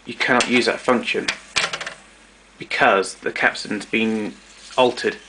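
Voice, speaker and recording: A man narrating a casual show-and-tell of his tape recorders, explaining step by step with pauses and fillers. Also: fairly low pitch, fairly even intonation, background noise.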